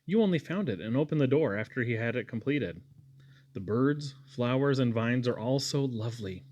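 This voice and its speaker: A man's voice: medium pitch, a little mellow, a little hiss or hum, natural voice. A man voicing an eager, sweet, chatty young woman in a storybook, with gushing exclamations. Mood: wistful.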